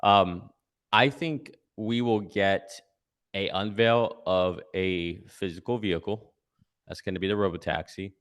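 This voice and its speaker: A man's voice: low voice, a little slow, fairly bright tone, smooth. A man giving casual conversational analysis as a guest commentator, explaining step by step and checking in with "right?". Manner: explanatory, tags points with "right?".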